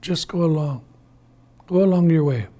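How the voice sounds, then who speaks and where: A man's voice: on the high side, moderate pace, warm tone, lightly acted. A man voicing a stern, protective old grandfather in an oral folktale, firm and admonishing. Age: older.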